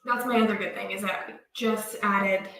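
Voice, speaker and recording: A woman, co-hosting a casual homestead livestream and telling excitable stories. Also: medium pitch, moderate pace. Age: 30s. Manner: chatty, excitable, storytelling. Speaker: livestream co-host. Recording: roomy, from a distance off the mic.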